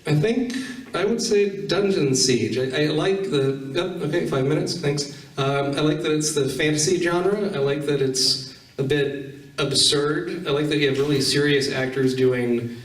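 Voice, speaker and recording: A man hosting an informal convention panel, talking in a halting, conversational way. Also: fairly high pitch. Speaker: panel host. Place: convention panel room with audience. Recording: echoey room, distant microphone.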